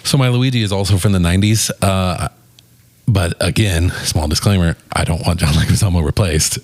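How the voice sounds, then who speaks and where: A man with a distinctly low voice co-hosts a casual film discussion. He speaks informally and jokily, swearing offhand, in the manner of friendly banter. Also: normal speed, dry recording. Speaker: podcast co-host. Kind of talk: casual movie review chat.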